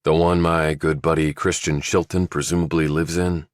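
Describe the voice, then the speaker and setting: A man's voice: very deep voice, level tone, normal speed, no echo, clean studio sound. A man voicing a guarded, terse ex-soldier working undercover, in understated audiobook dialogue. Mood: questioning.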